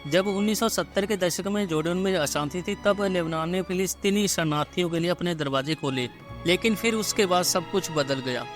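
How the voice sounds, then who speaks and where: A man's voice: high-pitched, rapid-fire, music in the background. A man narrating a scripted video voice-over, addressing listeners directly in an urgent manner.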